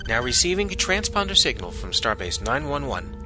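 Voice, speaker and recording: An actor playing a brisk starship officer who gives crisp commands in a fan audio drama. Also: male voice, medium pitch, sing-song, lightly acted. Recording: loud music score underneath.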